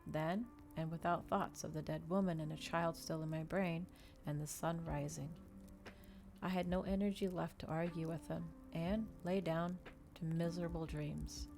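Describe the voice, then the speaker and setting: A woman with a low voice reads a story aloud for an ASMR stream, close to the microphone. Her delivery is soft and unhurried, with music playing underneath. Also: steady tone, gravelly. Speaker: VTuber streamer reading aloud.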